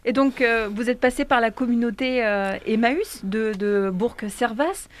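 A woman's voice: a little high, measured pace. A woman hosting a local radio show, reading news items and then interviewing guests with frequent hesitations. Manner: hesitant with many fillers when asking questions. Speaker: radio host.

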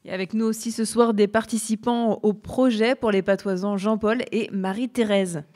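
Woman, live radio host, clear and direct introductions. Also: medium pitch, steady tone, normal speed, darkish tone.

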